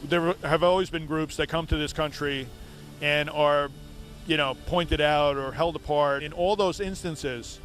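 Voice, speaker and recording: A man in his fifties, calmly and reflectively talking in an interview and asking questions. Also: on the high side, measured pace, faint music behind. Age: 50s. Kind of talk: recorded interview.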